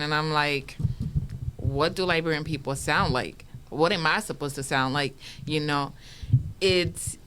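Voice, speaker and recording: A woman on a casual studio panel who talks in an animated way, laughs often and acts out the remarks she quotes. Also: deep voice, a little hiss or hum. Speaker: panel co-host. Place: community media studio.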